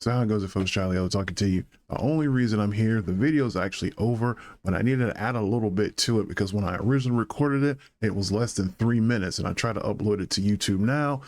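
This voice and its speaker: A man's voice: on the low side, fast, few pauses, darkish tone. A man hosting a casual solo reaction vlog, talking through his impressions as a reviewer. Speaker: YouTube host giving episode reactions.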